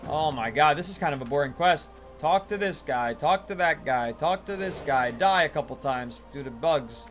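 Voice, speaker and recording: A man's voice: a little high, expressive, normal speed, soft background music. A man casually chatting on a gaming livestream, playful and talkative, thanking viewers as he plays. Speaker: livestream gamer.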